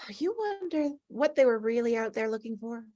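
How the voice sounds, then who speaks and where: A woman's voice: fairly high pitch, expressive, normal speed, warm tone, natural voice. An actress playing a wistful, mischievous female ghost at a script table read.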